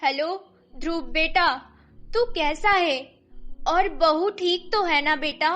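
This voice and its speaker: A woman's voice: very high voice, slow, over music, pitched above the performer's own voice. A woman playing an aging Indian mother-in-law in a family story, plaintive, homely and doting.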